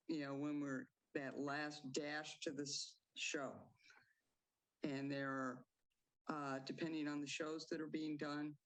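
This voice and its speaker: A woman playwright giving measured interview answers, now and then restarting her sentences. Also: sits low, low-quality recording.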